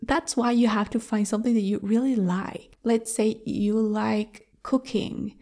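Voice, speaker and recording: A woman's voice: fairly high pitch, fairly even intonation, measured pace, mellow. A young woman hosting a podcast, giving a language-learning lesson and patiently explaining tips, often asking listeners rhetorical questions. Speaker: podcast host and language teacher.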